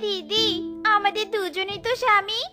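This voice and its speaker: A woman's voice: very high-pitched, fairly even intonation, moderate pace, bright, forceful tone. A woman playing an anxious younger village widow with an earnest, appealing manner in a children's cartoon. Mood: worried. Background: children's music.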